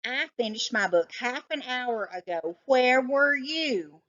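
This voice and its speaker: A woman's voice: high voice, animated, slow pace, a bit bright, lo-fi sound, voice pushed up, exaggerated voice. A woman voicing a scornful, know-it-all male cat with a snappish, pedantic manner in a children's storybook. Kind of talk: children's storybook read-aloud.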